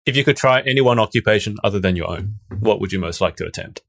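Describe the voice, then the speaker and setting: A man with an Australian accent and a very deep voice, hosting a casual interview. He reads out questions plainly and adds dry, teasing remarks, often stumbling over a word before restarting. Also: animated, quick pace, vocal fry, fairly bright tone. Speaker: podcast host.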